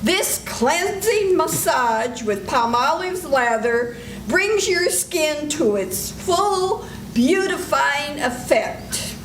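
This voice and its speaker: A woman's voice: sits high, lively intonation, very slow, hardly any pauses, reverberant, slight background noise, poor audio. A woman announcing in a polished, old-time radio style in a live stage show. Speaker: announcer and emcee. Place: senior center stage.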